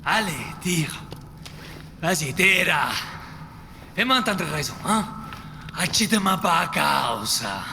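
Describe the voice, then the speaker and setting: A man playing a defiant terrorist villain in a French audio drama, goading his enemy with drawn-out words. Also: fairly high pitch, sing-song, pushed and bright, full character voice. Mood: taunting. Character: taunting, defiant male terrorist villain at gunpoint. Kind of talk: French audio fiction acting. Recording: long reverb, clear background noise.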